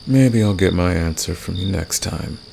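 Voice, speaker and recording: A man playing a confident, flirtatious and secretly protective fantasy villain in an ASMR romance roleplay, gravelly and intimate, with a teasing, smug manner. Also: normal speed, darkish tone. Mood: smug, seductive. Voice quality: very deep, gravelly. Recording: clear background noise, night cricket ambience.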